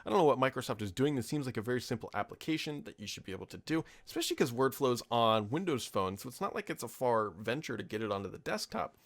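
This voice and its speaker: A man's voice: mid-range voice, big pitch swings, quick pace, hardly any pauses. An American man in his thirties hosting a tech podcast, talking off the cuff in an informal, opinionated, rambling way. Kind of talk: casual off-the-cuff commentary answering listener questions.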